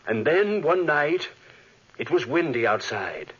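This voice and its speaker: A tormented male scientist, in melodramatic 1940s radio style. The voice is rough-edged and forceful, with big swings in pitch. It comes through a dull old broadcast recording. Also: sing-song, slow, heavily acted. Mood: grim, haunted. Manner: melodramatic, anguished. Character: tormented, guilt-ridden male scientist who created a monster. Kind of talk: 1940s radio acting with dramatic narration.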